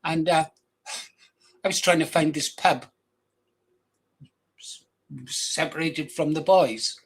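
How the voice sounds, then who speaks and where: A man's voice: on the high side, takes it slowly, slightly rough. Blunt older Welsh-accented man. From England, raised in Wales from age ten. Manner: blunt, crude, rambling with restarts. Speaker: channel host. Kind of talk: casual rambling monologue.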